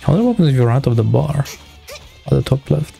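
A man's voice: medium pitch, sing-song, quick pace, gravelly, dark tone, faint music behind. A young man giving casual reaction commentary as he streams a game indoors on a close mic. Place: indoor room at a desk.

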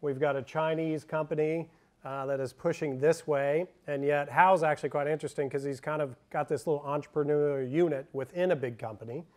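A man's voice: fairly high pitch, fairly even intonation, brisk. A man moderating a conference panel on an auditorium stage, upbeat and chatty with loose fillers, through a handheld microphone.

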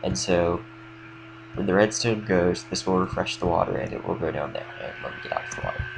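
A young man with a slight cold, casually explaining a game build in a relaxed, rambling way with lots of fillers. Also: sits low, a little fast, gravelly, noisy background. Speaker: game tutorial creator. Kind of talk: casual gameplay tutorial commentary.

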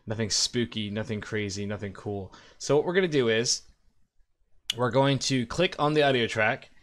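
Casual man hosting a software tutorial. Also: mid-range voice. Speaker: tutorial host. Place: indoor room at a desk.

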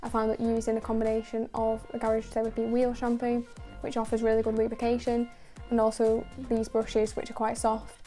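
A young woman giving a calm, measured voice-over about washing a car, with many casual fillers. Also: fairly high pitch, faint music behind. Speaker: car detailing channel host. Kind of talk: voice-over narration of a car wash.

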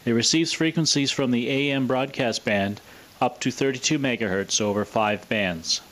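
A man presenting a scripted, explanatory product demonstration in a measured, matter-of-fact way. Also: mid-range voice, a bit bright. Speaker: presenter of a vintage radio showcase video.

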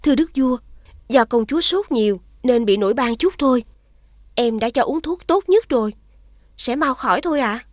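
A woman's voice: high voice, lively intonation, normal speed, dry recording, clean studio sound. A woman voicing a scheming witch posing as the queen's sister. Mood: sweet. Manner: haughty, commanding to servants, sweet to the king. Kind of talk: dubbed cartoon acting. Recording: cartoon soundtrack with music behind.

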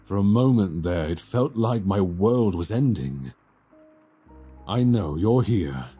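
A man acts out an anguished young prince in a romantic audio drama. His voice is husky and lowish, moving slowly and haltingly with wide swings of feeling, earnest and tender as a worried lover. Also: expressive, dark tone, faint music behind, heavily acted. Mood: tender, guilty. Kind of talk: romantic audio roleplay, ASMR-like acting.

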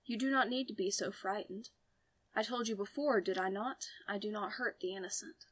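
A woman's voice: mid-range voice, moderate pace, a bit bright, dry recording, lightly acted. Woman playing a suave, flirtatious Frenchman. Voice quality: smooth, sweet. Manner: teasing, self-assured.

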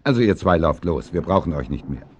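An actor playing a brisk, authoritative, wry policeman in a German children's radio play. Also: extremely low pitch, expressive. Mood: commanding. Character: brisk, commanding policeman arresting crooks. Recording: dull 1970s audio drama recording.

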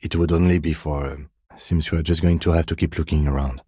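An actor playing a calm, dutiful middle-aged police detective in a video game, with a measured, deadpan, politely formal delivery. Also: male voice, rumbling low, breathy edge, no echo, studio quality. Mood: wry, lifted. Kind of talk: scripted game character dialogue.

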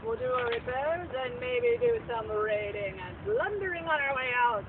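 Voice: high voice, animated, normal speed. A puppeteer playing a blustering, theatrical male Viking captain in a live puppet show. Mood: grumbling, bossy. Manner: theatrical, declamatory. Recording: tinny, noisy outdoor recording from afar.

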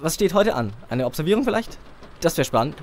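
A man plays an eager young rookie cop who stammers and chatters nervously. His voice sits high and bright. Car and street ambience is clearly heard behind him. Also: big pitch swings, quick pace, a little hiss or hum. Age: young adult. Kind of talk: comedic audio drama.

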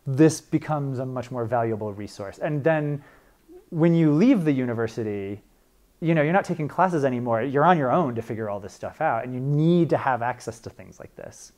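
A man's voice: medium pitch, lively intonation, normal speed, a little mellow. Chatty man teaching a class. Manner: casual, chatty, with many fillers and restarts. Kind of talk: informal classroom talk.

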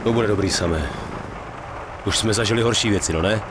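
Man as a low-voiced, restrained gangster. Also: background noise, lightly acted. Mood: reassuring. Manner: restrained, terse. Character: calm, loyal gangster, man of few words. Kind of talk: dramatic game cutscene dubbing.

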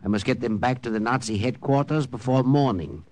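Actor as German military informant, German accent, medium pitch, stiff and formal, vintage radio drama. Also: male voice, fairly quick, darkish tone, lightly acted. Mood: urgent. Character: formal, guarded German officer turned secret informant. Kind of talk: old-time radio drama acting.

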